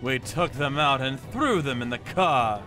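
A man's voice: medium pitch, lively intonation, a little slow, over music, close to an everyday voice. A man acting a cold, vengeful torturer who tells his story in a theatrical, menacing manga dub style. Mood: grim narrating. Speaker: narrator and lead voice actor. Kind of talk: dramatic manga dub narration.

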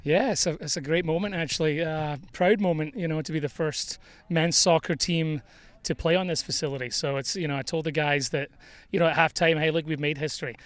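A man's voice: fairly high pitch, steady tone, a little fast, dry recording. A middle-aged man, a head soccer coach, giving a candid, conversational postgame interview outdoors on a field into a handheld microphone, with plenty of fillers. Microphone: handheld mic held by interviewer.

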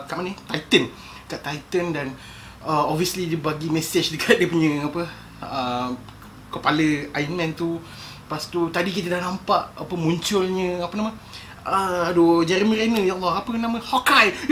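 A young Malaysian man chatting casually and excitedly as a vlogger, with slangy speech and swearing, in a room at home. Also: sits high. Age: young adult. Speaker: vlogger reacting to a film trailer. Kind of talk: casual excited reaction chat. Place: small indoor room at home. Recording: clearly noisy home recording.

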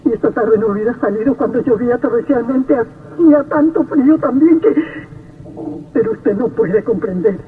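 A woman's voice: fairly high pitch, normal speed, breathy edge. An actress playing a weary, sorrowful mother in vintage radio melodrama, with a plaintive delivery. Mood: bitter, tearful. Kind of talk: vintage radio drama acting. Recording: tinny, distant old radio.

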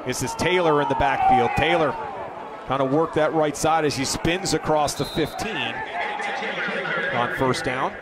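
A man calling a college football game play by play, brisk and rising with excitement on big plays. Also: a little high. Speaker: play-by-play announcer. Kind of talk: live football play-by-play commentary. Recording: broadcast with loud crowd and noise behind.

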